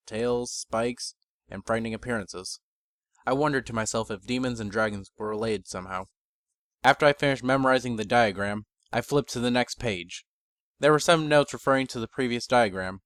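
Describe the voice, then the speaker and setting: A man reading a first-person fantasy story as narrator, in a measured, bookish dramatic reading. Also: on the low side, fairly bright tone, no echo.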